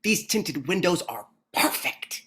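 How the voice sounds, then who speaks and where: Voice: mid-range voice, very animated, slow pace, fairly bright tone. Performer acting elderly man, timid, flustered, eccentric, hesitant, drama table read.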